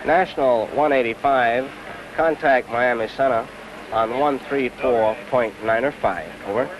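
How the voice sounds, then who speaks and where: A man's voice: mid-range voice, a little slow. A man giving procedural radio instructions as an air traffic controller. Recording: dull, noisy old radio recording.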